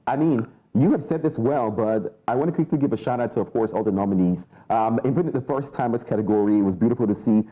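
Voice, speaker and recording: Dark-voiced fast-talking male host. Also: medium pitch, highs cut off. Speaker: news show host. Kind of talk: TV news discussion.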